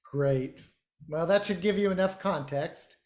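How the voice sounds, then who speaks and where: A brusque male theater director played by an actor running an audition. He speaks in a halting, hedging way with a condescending edge, in a naturalistic stage-drama style. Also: on the high side, darkish tone, lightly acted. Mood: smooth, patronizing. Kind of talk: naturalistic virtual stage acting.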